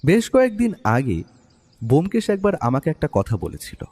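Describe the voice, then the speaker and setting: An actor playing the detective's observant friend, narrating a mystery story in a husky voice with long reverb. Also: male voice, fairly high pitch, wildly swooping pitch, normal speed, a little mellow, full character voice. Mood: reflective. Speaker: first-person narrator. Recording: long reverberant hall-like echo.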